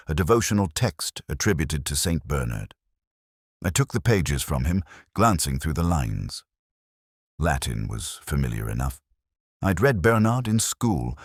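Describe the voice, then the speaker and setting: A man reading a scripted first-person detective story in a measured, deliberate, brooding way. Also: rumbling low, dry recording, clean studio sound. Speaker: narrator.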